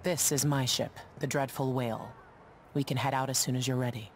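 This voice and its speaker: An actress as a frank female ship captain in a video game. Her voice is very low for a woman and matter-of-fact. There is slight noise in the background. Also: steady tone, brisk. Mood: frank, dry.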